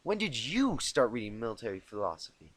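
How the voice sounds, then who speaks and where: A man's voice: medium pitch, very animated, brisk, fairly bright tone, dry recording. A man voicing an anxious, bookish young female unicorn pony with a worried, questioning manner in a story reading. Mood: puzzled.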